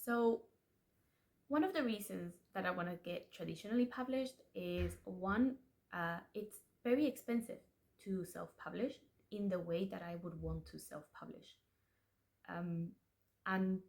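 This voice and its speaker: A woman's voice: lowish voice, unhurried, creaky, darkish tone. Chatty self-deprecating young woman vlogger. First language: Spanish. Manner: chatty, self-deprecating, restarts and laughs often. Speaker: aspiring author and channel host. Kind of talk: casual confessional vlog.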